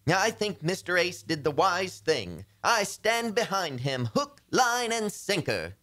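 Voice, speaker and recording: An actor playing a meek, henpecked husband who tries to sound firm, earnest and fussy, in a radio comedy. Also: male voice, high voice, very animated, slow pace, bright, forceful tone, exaggerated voice. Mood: nervously defiant. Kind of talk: old-time radio comedy acting.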